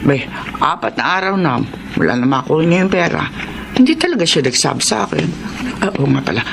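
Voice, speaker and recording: A woman's voice: low-pitched, big pitch swings, brisk, creaky, background noise, full character voice. A woman acting an exasperated, nagging well-off employer in a radio drama, sputtering when flustered. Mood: brooding. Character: exasperated, scolding well-off female employer of a housemaid.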